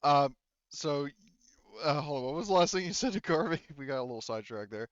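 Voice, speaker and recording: A man's voice: a little high, lively intonation, brisk, no echo, dull audio. A man acting as game master, narrating and chatting casually and informally, with some swearing.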